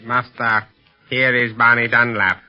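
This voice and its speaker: A man's voice: mid-range voice, flat delivery, a little slow, soft background music, lightly acted. A man playing a grim, obedient henchman in a 1930s radio serial, formal and clipped in his servile replies. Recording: muffled vintage 1930s radio recording.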